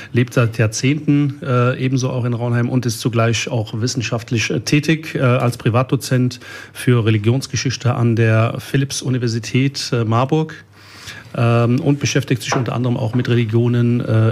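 A man hosting a local radio interview. His voice stays flat and level in pitch, and he halts often with ums and uhs as he introduces the guest and asks questions. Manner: hesitant, many ums and uhs. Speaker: host of a local radio show.

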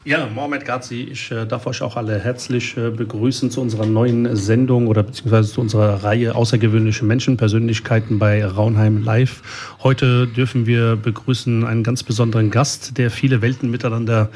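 A man's voice: mid-range voice, little pitch movement, fairly quick, a little mellow, a little hiss or hum. A man hosting a local radio interview, speaking hesitantly with many ums and uhs. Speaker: host of a local radio show.

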